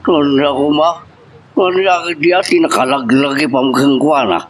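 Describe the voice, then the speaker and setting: A man's voice: on the high side, steady tone, moderate pace, close to an everyday voice. A man with an Ilocano accent playing an indignant, stubborn older husband and father in a family drama. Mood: bitter. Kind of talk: radio-style Ilocano family love drama acting. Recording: clear background noise.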